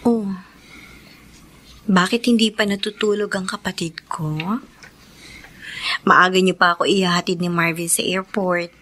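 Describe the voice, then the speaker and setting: A woman's voice: on the low side, steady tone, measured pace, pauses often, a bit bright, slight background noise. A young woman acting as a devoted, self-sacrificing elder sister in a Filipino radio drama, with earnest, emotional delivery. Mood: tender, reassuring.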